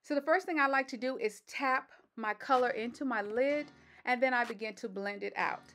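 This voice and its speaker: A woman with a slightly raspy voice doing a relaxed step-by-step voice-over for her makeup tutorial. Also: a little high, moderate pace, soft background music. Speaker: beauty vlogger. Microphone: voice-over mic.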